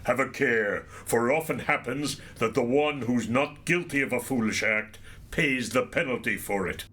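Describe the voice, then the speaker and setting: Bright-voiced haughty enemy knight played by a man. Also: mid-range voice, normal speed, lightly acted. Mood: scornful. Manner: archaic, formal, declaiming diction. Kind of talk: audio drama acting of medieval romance.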